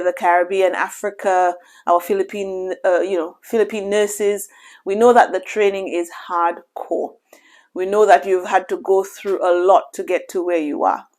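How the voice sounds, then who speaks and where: A woman from Lucia, a doctor giving measured, reassuring career advice in a casual vlog, often saying "you know.". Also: mid-range voice, darkish tone.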